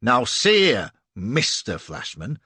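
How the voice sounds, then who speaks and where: A man voicing a cold, menacing middle-aged slave-ship captain in an audiobook, quietly threatening and commanding. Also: medium pitch, wildly swooping pitch, slow pace, breathy edge, no room sound, exaggerated voice. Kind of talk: audiobook character voice.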